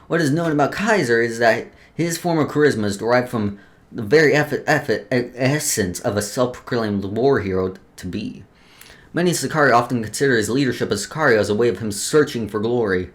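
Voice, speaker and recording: A man's voice: mid-range voice, brisk. Man casually reading game lore, stumbling a bit. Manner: stumbles over words while reading, then restarts. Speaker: let's play host.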